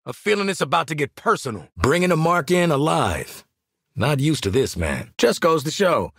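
An American actor playing a cocky, gruff, wisecracking mercenary sharpshooter in a video game, with a raspy voice and punchy, streetwise delivery. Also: male voice, fairly high pitch, sing-song, moderate pace, a bit bright, dry recording, studio quality, exaggerated voice. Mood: dry, cocky. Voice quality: raspy, gravelly. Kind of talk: acted combat game voice lines.

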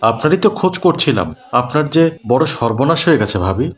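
A male actor voices an earnest family man in an Islamic cartoon. He is a kindly father with a mid-pitched voice who explains things in a measured, moralising way. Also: very animated, close to an everyday voice. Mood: grave. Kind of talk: Bengali Islamic cartoon dialogue.

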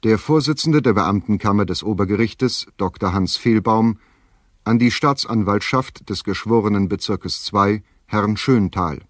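A man's voice: lowish voice, a little slow, dry recording, clean studio sound. A man narrating in an audio play, formally announcing documents. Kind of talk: formal announcement of a letter's sender and date.

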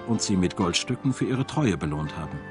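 A man's voice: low-pitched, expressive, a little fast. A man reading scripted documentary narration in German voice-over style. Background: background music.

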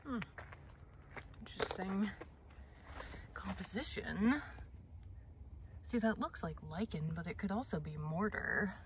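A woman muses aloud as she walks a wooded trail, her voice mid-pitched and unhurried. She drops into soft whispers now and then. Faint outdoor noise sits under the handheld phone recording. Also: lively intonation. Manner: slow, musing, thinking aloud with soft whispered asides. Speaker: hiking vlogger. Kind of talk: casual hiking narration. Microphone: phone camera held by the narrator.

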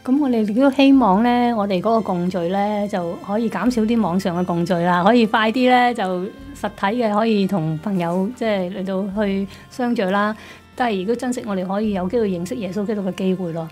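A woman's voice: medium pitch, brisk, a little mellow. A woman with a Hong Kong Cantonese accent giving a conversational testimony as a guest in a radio studio. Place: small padded radio studio. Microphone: studio desk microphone. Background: music.

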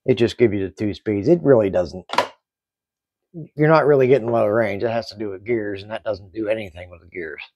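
A middle-aged man giving a casual hands-on hobby review in halting, explanatory short phrases. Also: lowish voice, no room sound, lo-fi sound. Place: small indoor hobby workbench.

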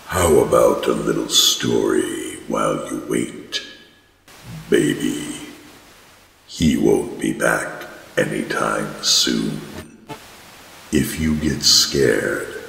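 A man playing a sinister, menacing horror radio host with a gravelly, deliberate croak. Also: a bass voice, fairly bright tone, exaggerated voice. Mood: slow menace. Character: sinister male horror host telling bedtime frights. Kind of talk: horror radio show introduction. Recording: long cavernous reverb, noise.

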